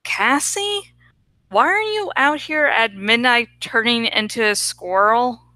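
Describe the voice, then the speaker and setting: Woman voicing a grumpy, bright-toned boy hawk. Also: a little high, lively intonation, slow, no room sound, heavily acted. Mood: exasperated. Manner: grumpy, wry.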